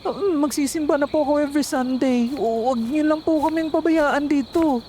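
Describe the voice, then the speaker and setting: A woman's voice: sits high, moderate pace, dark tone, a little hiss or hum, lightly acted. A woman playing a scared young female call center agent in a Filipino horror audio drama, anxious, stammering and pleading. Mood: terrified, pleading.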